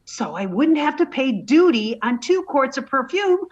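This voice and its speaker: A woman's voice: high-pitched, big pitch swings, normal speed. A woman playing a naive, scatterbrained young woman in a radio comedy. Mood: breezy. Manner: breezy, sweetly dim. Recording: dull lo-fi recording.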